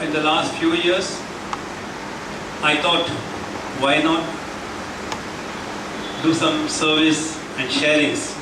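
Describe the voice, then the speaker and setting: Indian man, middle-aged, speaks to a seniors' forum, slightly high voice, echoey hall, mic far off. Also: noisy background. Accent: Indian English. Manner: measured, with many pauses and fillers. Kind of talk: public talk to a senior citizens' forum. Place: meeting hall.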